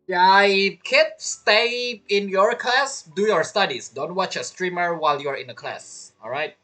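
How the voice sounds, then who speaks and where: A woman's voice: on the low side, a little slow, a bit bright, soft background music. A woman giving casual game commentary as a streamer, chatty and reacting aloud in loose fragments.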